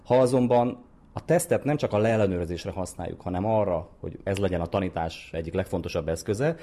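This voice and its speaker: A man's voice: deep voice, warm tone. A man speaking as an academic expert in an interview, explaining research in an articulate, scholarly way. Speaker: psychologist and brain researcher, interview guest.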